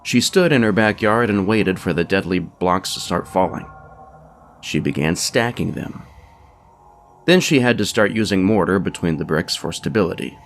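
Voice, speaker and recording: A man reading a scripted comic storybook tale as narrator, with dry humour in an expressive delivery. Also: low voice, normal speed, soft background music. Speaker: narrator, writer and producer.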